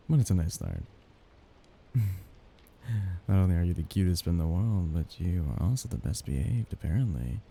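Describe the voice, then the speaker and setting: A man playing a possessive, doting young mafia heir in an intimate ASMR romance roleplay, soft-spoken and halting, with breathy chuckles. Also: low voice, a little hiss or hum, exaggerated voice. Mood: doting, teasing.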